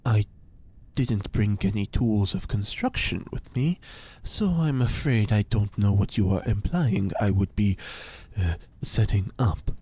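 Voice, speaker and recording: A man's voice: fairly low pitch, measured pace, warm tone, breathy edge. An actor playing an eccentric, pompous male scientist who lectures grandly and theatrically in an audio drama. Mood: lecturing. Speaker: reporter's informant.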